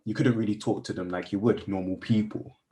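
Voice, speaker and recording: A man acting an earnest young man in radio play dialogue. His voice is fairly deep and dark, and he talks politely and hesitantly, with a wistful, apologetic manner. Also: fairly even intonation, fairly quick, lightly acted. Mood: awkward, sincere. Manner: hesitant, apologetic, soft-spoken.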